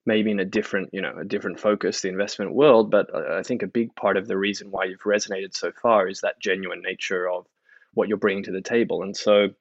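A man's voice: low-pitched, flat delivery, fast talker, no room sound, dull audio. A man in his thirties with an Australian accent, talking casually and wryly as a podcast guest. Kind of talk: casual interview conversation.

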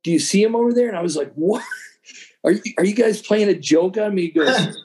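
A man's voice: high voice, fairly quick, a little mellow. A man chatting casually as a podcast guest, telling anecdotes and acting out bits of dialogue as he goes. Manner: acts out quoted dialogue, conversational.

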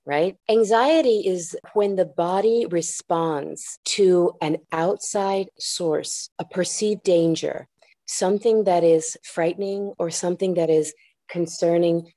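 Woman, therapist guest, low voice, mellow tone, reflective, measured, dry close podcast sound. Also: dry recording. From United States. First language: Japanese. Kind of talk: podcast interview, thoughtful explaining.

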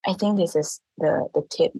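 Husky woman. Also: sits low, moderate pace, dark tone, dry recording, rough recording. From Thailand. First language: Thai. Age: 30s. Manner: earnest, laughs often, restarts phrases. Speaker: guest, language teacher. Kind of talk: casual interview talk.

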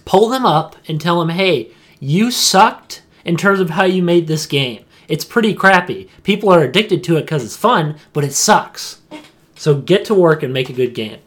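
A man's voice: on the high side, animated, moderate pace, a bit bright. A young adult man co-hosting a podcast, chatting casually and opinionatedly, repeating phrases emphatically for stress. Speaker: podcast co-host.

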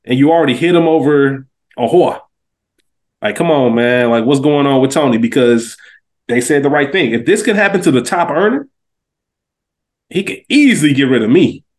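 A male podcast co-host with an American accent and an average-pitched voice. He talks casually but analytically, building his point emphatically with plenty of slang. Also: lively intonation, moderate pace.

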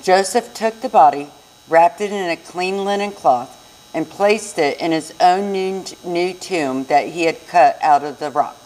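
A woman with a somewhat low voice reads scripture in a church service. She narrates the Bible story from a written text. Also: unhurried, a little mellow, rough recording.

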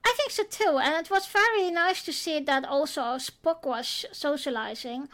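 A woman co-hosting a casual podcast chat, talking in a thoughtful way with pauses. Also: high voice, a bit bright. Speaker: podcast co-host.

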